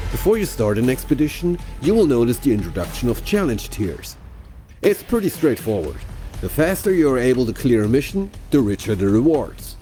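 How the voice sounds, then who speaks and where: Chatty playful guy doing a game promo explainer, mellow voice, bit of music behind. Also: medium pitch. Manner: chatty, playful direct address. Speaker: game presenter. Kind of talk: scripted promotional explainer narration.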